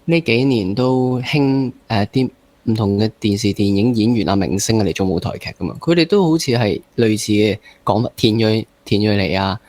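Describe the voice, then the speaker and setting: A man with a Hong Kong accent hosting a casual interview, feeling his way through halting phrases with some English words mixed in. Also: on the low side, animated, a little mellow. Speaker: interview host.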